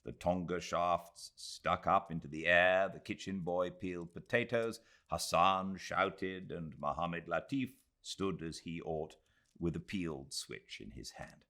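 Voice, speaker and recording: Male British audiobook narrator wanted: deep, unhurried, expressive delivery for classic literature. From Britain. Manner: measured, expressive storytelling.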